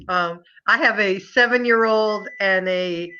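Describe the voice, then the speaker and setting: An older woman from the United States who tutors English online in a chatty, friendly way. Her voice has a bright, forward edge. The sound comes through a slightly dull video-call line. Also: medium pitch, slow pace, slight background noise. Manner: chatty, friendly, asks questions. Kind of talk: casual conversation lesson.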